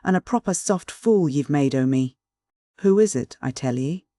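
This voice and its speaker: A man voicing a rough, earnest shepherd with a husky Northern English dialect, blunt and stubborn. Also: fairly high pitch, lively intonation, moderate pace, dark tone, no room sound, studio quality, close to an everyday voice. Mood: harsh. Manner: blunt, pleading, dialect-heavy.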